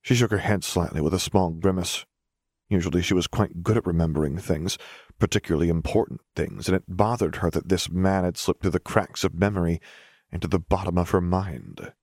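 A man's voice: low-pitched, steady tone, a little fast, darkish tone, breathy edge, no room sound, clean studio sound. A man reading a scripted story aloud as an audiobook narrator.

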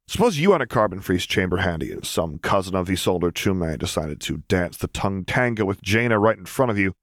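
A man's voice: sits low, lively intonation, fairly quick, no room sound, clean studio sound. A man voicing a mischievous Jedi master with a breezy, teasing, mock-innocent farm-boy manner in an audiobook story. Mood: needling.

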